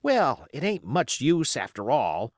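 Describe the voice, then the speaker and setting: A man's voice: medium pitch, wildly swooping pitch, slow pace, dry recording, clean studio sound, pitched above the performer's own voice. A man playing a naive young seaman, eager and impressionable, in an adventure storybook. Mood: doubtful, questioning. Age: young adult. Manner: eager, wide-eyed, impressionable.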